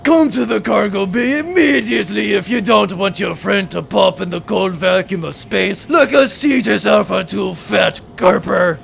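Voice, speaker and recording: Bellowing high-pitched pirate villain. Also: male voice, moderate pace, gravelly, few pauses, a bit bright, faint music behind, heavily acted. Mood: furious. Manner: blustering, theatrical pirate talk with florid oaths. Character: bellowing, vain, educated pirate villain, drunken bluster. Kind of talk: comic sci-fi audio drama acting.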